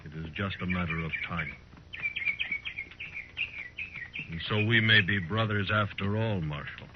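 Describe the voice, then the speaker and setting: Male actor as proud, fatalistic war chief for a vintage radio western. Deep, measured, formal delivery; dull, noisy old recording. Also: close to an everyday voice. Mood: grave, resigned. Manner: formal, measured speech without contractions. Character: proud, embittered older Cheyenne war leader, grave and fatalistic. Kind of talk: 1950s radio western acting.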